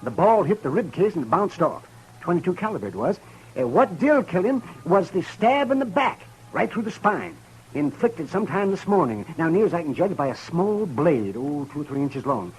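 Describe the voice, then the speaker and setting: A man's voice: a little high, very animated, moderate pace, slight background noise, low-quality recording. An actor playing a fussy, hesitant old male town doctor who stammers in a 1950s radio drama. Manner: stammering, full of uhs.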